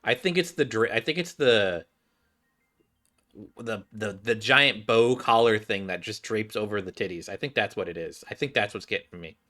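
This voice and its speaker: A man streaming a game with casual commentary, thinking aloud haltingly and repeating himself. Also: lowish voice, sharp tone.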